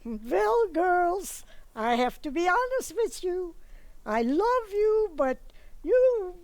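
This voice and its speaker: A woman playing a blunt, flighty older mother in an amateur radio play, with a dramatic, drawn-out delivery. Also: extremely high pitch, darkish tone, no echo, exaggerated voice. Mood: breezy, frank.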